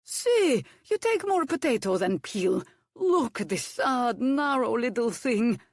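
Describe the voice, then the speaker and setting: A man's voice: very high-pitched, very animated, slow, no echo, studio quality, pitched above the performer's own voice, full character voice. A woman voicing a blunt, bossy male ship's cook who speaks broken English, in a storybook reading. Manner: broken English, curt commands.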